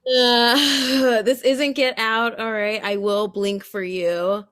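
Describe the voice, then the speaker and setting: A woman with a North American accent performing stand-up, self-deprecating and full of animated asides. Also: fairly high pitch, slow pace, smooth. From born in Kenya, grew up in Canada. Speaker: stand-up comedian. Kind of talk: stand-up comedy. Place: online video event.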